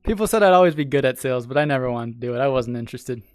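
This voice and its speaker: A man's voice: mid-range voice, expressive, very fast, darkish tone, clean tone, dry recording. A man hosting a casual livestream, chatting with viewers and thanking new followers. He laughs often, repeats himself, and has a voice he says is made for radio and sales. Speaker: streamer and podcast host. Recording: dry close mic with faint music behind.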